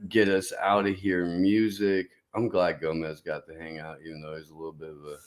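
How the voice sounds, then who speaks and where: A man's voice: low voice, little pitch movement, brisk, reverberant. A man hosting a podcast in casual chat, profane and rambling. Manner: profane, laid-back, rambling. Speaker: podcast host.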